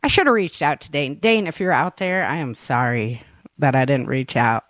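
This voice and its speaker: A woman's voice: low-pitched, normal speed, fairly bright tone, no echo, clean studio sound. Casual, giggly woman podcast host. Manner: giggly, informal, rambling. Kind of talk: casual chat.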